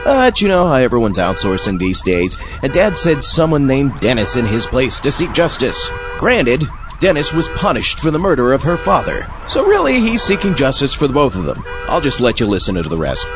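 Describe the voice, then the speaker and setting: An actor playing an irritable, wisecracking zombie who hosts a comic horror show with sardonic asides. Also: male voice, medium pitch, huge pitch swings, normal speed. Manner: sardonic, exasperated. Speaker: host. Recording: dull sound with music behind.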